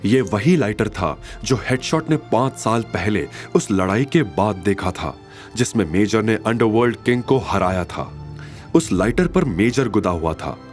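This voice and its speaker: A man with a fairly low voice narrates a dramatic audio story. His delivery is scripted storytelling. Soft music sits behind him. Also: expressive, measured pace.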